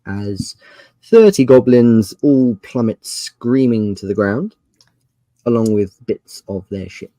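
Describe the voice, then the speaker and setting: A man narrating a tabletop game as game master, using dramatic pauses and emphasis as he describes scenes. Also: mid-range voice, expressive, mellow, dry recording, poor audio.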